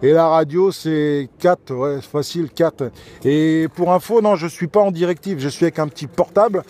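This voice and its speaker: A man chatting casually over a handheld radio with other operators, relaxed and joking, recorded outdoors. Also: fairly high pitch, normal speed, slight background noise. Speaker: radio amateur filming his contact. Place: outdoors in a garden.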